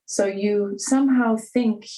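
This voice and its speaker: A woman from Ontario living in Switzerland, speaking as a podcast guest with an accent flattened by years abroad, thoughtful and hesitant with many fillers. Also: medium pitch, slow, far from the mic, low-quality recording. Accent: Canadian English flattened by life in Switzerland. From Ontario, Canada.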